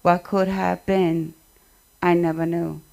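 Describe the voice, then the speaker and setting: A woman speaking at a community memorial event, delivering remarks and poetry in short, measured phrases. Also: on the low side, little pitch movement, gravelly, dark tone.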